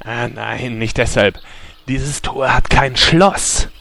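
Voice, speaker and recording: A gruff, grumpy lockpicker played by a man grumbles curtly in a parody audio play. His mid-range voice is forceful and sharp, with a slow delivery. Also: medium pitch, exaggerated voice. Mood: frustrated. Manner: curt, grumbling. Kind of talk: parody audio drama acting.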